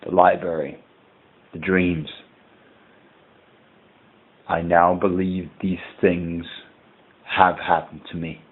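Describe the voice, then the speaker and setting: A man playing a haunted, dread-filled character who records a horror audio log in grave, deliberate, formal language, with a husky voice. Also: sits low, phone-quality sound. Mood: grave, shaken. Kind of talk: scripted first-person horror narration.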